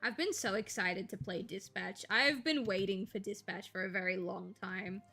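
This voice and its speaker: A young woman, chatting casually and rambling to viewers on a live stream, with a slight gravel in her voice when she talks quietly. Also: medium pitch, normal speed, faint music behind. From Australia. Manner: chatty, rambling, laughs easily, thinks aloud.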